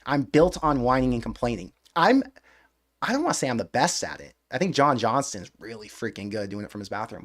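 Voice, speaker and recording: Male live-stream host, casual chat, rambling, excitable, loose mild swearing. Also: medium pitch.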